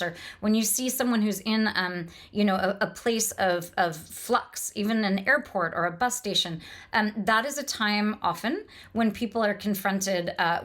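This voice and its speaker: A woman's voice: mid-range voice, a bit bright. A woman lecturing on literature off the cuff, in an enthusiastic, discursive way that explains as it goes. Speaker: lecturer and host of a literature podcast.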